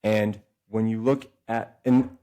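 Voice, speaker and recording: A man's voice: fairly low pitch, fairly even intonation, warm tone. A man talking as a podcast guest and author, explaining at length in a discursive way and often stopping to correct himself. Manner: discursive, self-correcting, speeds up and slows down. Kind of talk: casual explanatory conversation.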